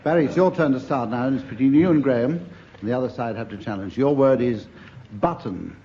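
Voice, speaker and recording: A man's voice: mid-range voice, brisk. An older British man hosting a comedy panel game as its chairman. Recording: muffled old radio recording, background noise. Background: studio audience, faint noise.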